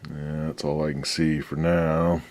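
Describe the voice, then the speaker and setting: A man's voice: very deep voice, level tone, unhurried, darkish tone, slight background noise. A man restoring an old radio at his workbench, giving rambling, folksy commentary with a lot of swearing. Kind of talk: casual workshop commentary.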